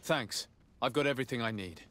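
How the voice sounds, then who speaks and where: An actor playing a polite, earnest young noble emissary in a video game, speaking formally and diplomatically. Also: male voice, mid-range voice, brisk, bright, forceful tone, slight background noise, lightly acted. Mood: businesslike. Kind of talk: video game dialogue acting.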